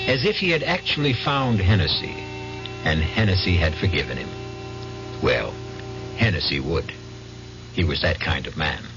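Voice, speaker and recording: An actor as a solemn, philosophical storyteller hosting eerie radio tales, measured, theatrical and wry, in 1970s narration style. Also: male voice, mid-range voice. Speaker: host and narrator of a mystery series. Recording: muffled old radio broadcast.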